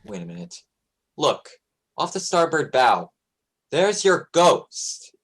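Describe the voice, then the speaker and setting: A young man playing a steady, commanding sailing captain in an amateur radio play. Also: a little high, takes it slowly, slightly rough, exaggerated voice. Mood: watchful.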